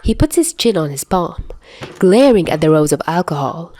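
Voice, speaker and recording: Expressive woman narrating, swinging pitch. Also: normal speed, a little hiss or hum. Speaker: fan fiction narrator. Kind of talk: expressive scripted story narration.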